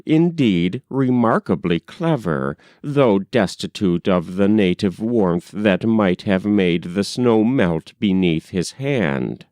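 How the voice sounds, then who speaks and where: Male audiobook reader, slow and measured, lively intonation, close dry sound. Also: on the low side, clear, resonant tone, no echo. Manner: measured, expressive reading. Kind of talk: literary story narration.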